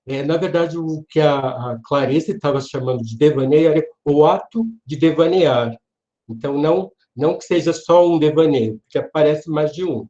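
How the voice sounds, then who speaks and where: A man with a Brazilian accent giving an informal online literature lecture in a hesitant, halting manner, often checking for agreement. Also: on the high side, mellow. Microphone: online video call. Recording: dull, lo-fi online class audio.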